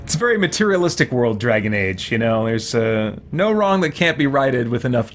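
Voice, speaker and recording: A man's voice: medium pitch, sing-song, fairly quick, a little hiss or hum. A man streaming a video game, giving casual let's-play commentary and thinking aloud in rambling, chatty asides. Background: video game music.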